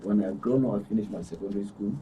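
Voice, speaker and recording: A man in his fifties with a Northern Nigerian accent, telling a personal story haltingly and repeating phrases. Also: on the low side, mellow, slightly rough. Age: 50s. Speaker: panel guest. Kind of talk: personal storytelling in a studio discussion. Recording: distant mic with faint noise.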